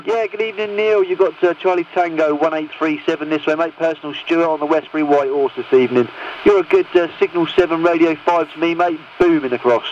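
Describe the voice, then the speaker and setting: A man's voice: on the high side, brisk. A man working a CB radio net in matey, procedural talk. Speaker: CB radio operator. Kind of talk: casual radio net chat. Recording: dull, noisy CB radio transmission.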